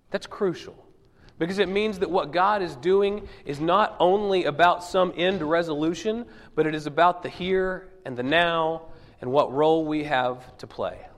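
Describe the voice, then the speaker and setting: A male pastor delivers a conversational sermon while fighting off a cold, his naturally higher voice pushed down into a husky rasp. He keeps things loose with jokes and friendly asides to the congregation. The sound carries a long reverb from the church sanctuary. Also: fairly bright tone. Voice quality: lowered, husky voice from illness. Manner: joking, chatty asides to the congregation. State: sick with a cold.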